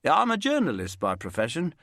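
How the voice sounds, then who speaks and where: A man's voice: fairly high pitch, wildly swooping pitch, a little fast, fairly bright tone, voice pushed up, heavily acted. A man voicing a cocky journalist with a raised, brash delivery. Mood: breezy.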